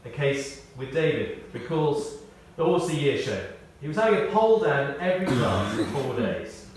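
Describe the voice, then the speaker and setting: A man reading a prepared tribute aloud in short, measured phrases, with wry storytelling. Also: medium pitch, very animated. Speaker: tribute speaker. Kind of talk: read-out memorial tribute with anecdotes. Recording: echoey hall, distant, faint noise.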